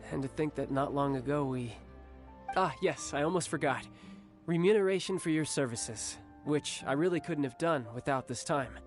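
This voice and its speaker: A man voicing a worn-out young warrior who talks in a soft, weary, wry way, for a video game. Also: a little high, normal speed, soft background music, close to an everyday voice. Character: young male warrior, weary and weakened, confident.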